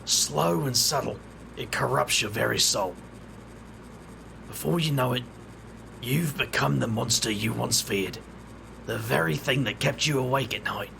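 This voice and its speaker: A man's voice: mid-range voice, measured pace, vocal fry, pauses often, background noise. A man voicing a brooding, world-weary wizard investigator with a wry, dry way of talking. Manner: wry, brooding, muttering asides.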